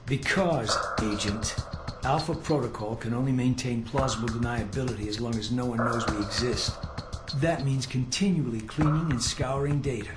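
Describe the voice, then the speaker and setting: A man's voice: medium pitch, moderate pace, close to an everyday voice. A man playing a curt, condescending intelligence analyst in a video game, with dry, clipped, formal delivery. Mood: cool. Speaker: intelligence analyst and mission handler. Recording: roomy echo, loud game music behind.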